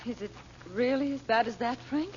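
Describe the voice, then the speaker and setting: A woman's voice: on the high side, moderate pace, close to an everyday voice. A woman playing a fretful, genteel mother in an old radio adventure serial, with a sighing, plaintive delivery. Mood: worried. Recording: muffled, very noisy old radio recording.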